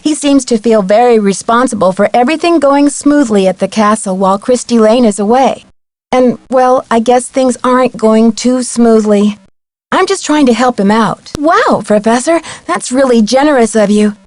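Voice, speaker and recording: A woman's voice: fairly high pitch, normal speed, darkish tone, dry recording, natural voice. A woman playing a polite, inquisitive young female amateur detective in scripted video game dialogue. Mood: curious, persuasive. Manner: courteous, inquisitive, clearly enunciated.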